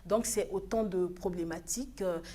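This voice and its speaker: A woman's voice: on the low side, normal speed, mellow. Earnest Congolese woman on a TV news set. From Republic of the Congo. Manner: earnest, exhorting, with hesitant restarts. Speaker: studio guest, African Union official. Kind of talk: TV news studio interview. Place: TV studio.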